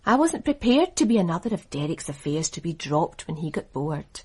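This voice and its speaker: Calculating businesswoman, husky and low, cool and teasing. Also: very animated, normal speed, darkish tone. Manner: cool, guarded, seductive. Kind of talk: radio drama acting.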